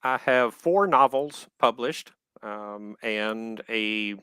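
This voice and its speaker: An older man with a Southern drawl speaks over a video call, taking his time with ums and a dry wit. His tone is bright, and the sound is close and dry with no room around it. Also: on the low side, clean tone, dry recording. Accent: Southern American. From Texas. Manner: unhurried, hesitant with many ums, dry humor. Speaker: author guest. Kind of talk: live video chat interview.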